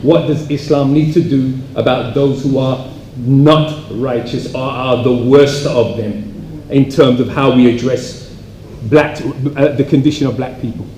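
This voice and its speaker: A man putting a rhetorical question from the audience in a preacherly, deliberate way, repeating phrases. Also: mid-range voice, steady tone, dark tone. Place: public event hall. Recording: echoey hall, faint background noise.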